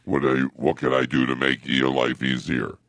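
Guy doing a super deep gravelly boyfriend, way too loud, keeps saying sorry. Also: level tone, moderate pace, no echo, full character voice. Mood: sheepish. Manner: can't keep his voice down, keeps apologizing. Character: booming deep-voiced man, clumsy, apologetic boyfriend. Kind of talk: improvised comedy sketch.

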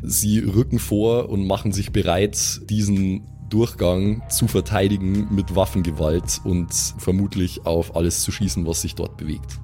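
A man narrating as game master of an improvised tabletop audio drama, descriptive, pausing between phrases. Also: low voice, steady tone, mellow. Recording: music bed behind the voice.

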